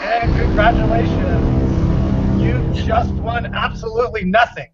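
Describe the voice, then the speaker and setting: High-pitched American man, playful co-host. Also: steady tone, slow, heavy background noise. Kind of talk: playful quiz banter. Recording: dull, dry band-limited sound.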